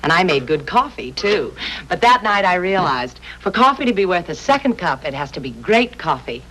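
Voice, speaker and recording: A woman's voice: on the low side, sing-song, normal speed, pushed and bright, exaggerated voice, poor audio. A woman playing an earnest housewife confiding in a 1970s TV commercial, recorded on humming old tape. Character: earnest housewife confiding about her coffee.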